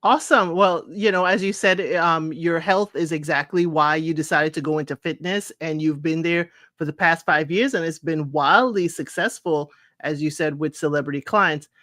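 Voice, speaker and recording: A man's voice: on the high side, moderate pace, few pauses, bright, forceful tone, no room sound, studio quality. A man hosting an interview, asking questions eagerly and reacting with short interjections. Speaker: podcast host and interviewer.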